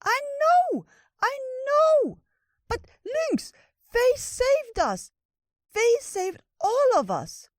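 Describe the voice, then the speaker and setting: A tiny storybook creature, extremely high and squeaky. It is eager and anxious, repeating itself with excitable energy in a dry recording. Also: extremely slow, no room sound, exaggerated voice.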